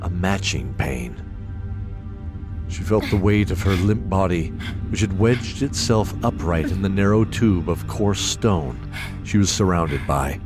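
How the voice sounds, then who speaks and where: A man narrating a scripted fantasy story in a measured, dramatic manner. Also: low-pitched, animated, over music.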